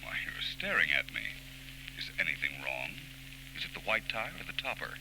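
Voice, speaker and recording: An actor playing a suave, self-assured private detective with urbane, gallant, wryly conceited wit in 1940s radio drama style. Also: male voice, low-pitched, big pitch swings, brisk. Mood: suave, flirtatious. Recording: noisy old 1940s radio tape.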